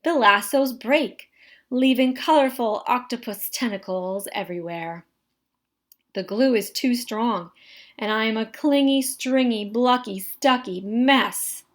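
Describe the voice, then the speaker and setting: A woman reading a children's picture book aloud in an animated, dramatic way, drawing out words for effect. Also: a little high. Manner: expressive, dramatic delivery with drawn-out words. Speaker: story reader.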